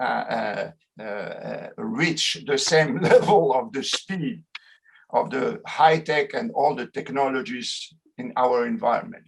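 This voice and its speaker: A middle-aged man with a French accent, a sociologist giving an online lecture, halting often with fillers and restarts. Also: medium pitch, creaky, poor audio.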